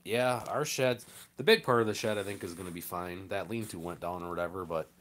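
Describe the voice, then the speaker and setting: A man's voice: low voice, a little fast, fairly bright tone. A man, a farmer chatting casually as he hosts a live stream and answers comments in a plainspoken, rambling way.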